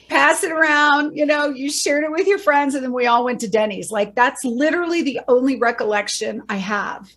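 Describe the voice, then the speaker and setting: A woman's voice: on the high side, a bit bright. A middle-aged woman, a bereaved mother and educator, explaining earnestly in a podcast interview and rattling off lists of facts.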